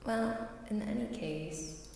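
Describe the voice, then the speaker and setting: A woman streamer chatting softly in ASMR style, often slipping into a breathy whisper, hesitant and sounding unwell. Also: a little high. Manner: slow, hesitant, halting, apologetic. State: unwell, queasy. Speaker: live streamer. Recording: very echoey, distant, faint background noise.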